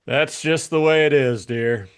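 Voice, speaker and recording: A man's voice: on the high side, measured pace, close to an everyday voice. A man playing a cool, cynical private detective in an audiobook, speaking in a blunt, sardonic, matter-of-fact way. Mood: curt, wry. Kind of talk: audiobook character acting.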